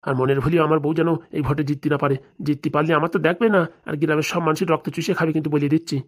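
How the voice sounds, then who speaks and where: A woman's voice: low-pitched, fairly even intonation, very fast. A woman voicing a resentful, henpecked husband in a comedy cartoon, confiding and insistent. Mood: urgent. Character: resentful henpecked husband, furtive, plotting against his wife.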